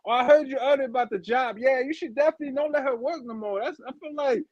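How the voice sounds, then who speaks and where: A man's voice: very high-pitched, fast talker, no echo, muffled recording. A man chatting casually as a guest, easygoing and agreeable. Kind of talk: casual chat.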